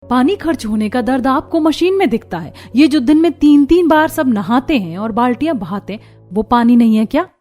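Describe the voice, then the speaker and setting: Cartoon young daughter-in-law, played by a woman: overworked, exasperated, indignant complainer, highish pitch. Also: lively intonation, brisk, darkish tone, soft background music. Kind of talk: Hindi cartoon story dialogue.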